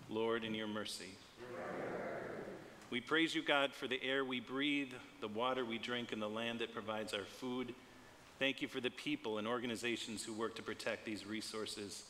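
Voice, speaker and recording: A middle-aged man, a pastor, reading prayers in a measured, solemn and deliberate way. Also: medium pitch, sharp tone. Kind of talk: read liturgy and intercessory prayer. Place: church sanctuary, standing at the altar. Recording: long church reverb, clear background noise.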